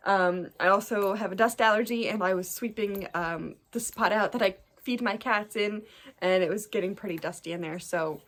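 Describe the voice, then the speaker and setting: A woman's voice: medium pitch, normal speed, a bit bright. A young woman with a stuffed-up nose from allergies, chatting casually to camera as she shows her cross-stitch designs, with lots of ums and small laughs. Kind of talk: casual show-and-tell chat to camera.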